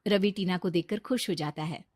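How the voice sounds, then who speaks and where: A woman's voice: lowish voice, moderate pace, a little mellow, dry recording, clean studio sound. A woman delivering scripted narration for a moral cartoon story.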